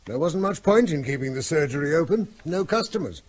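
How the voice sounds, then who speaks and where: An actor playing a harried, evasive vet and husband in a radio drama, placating and dismissive. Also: male voice, a little high, animated, a little fast, darkish tone. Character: evasive, harried male vet avoiding marital argument.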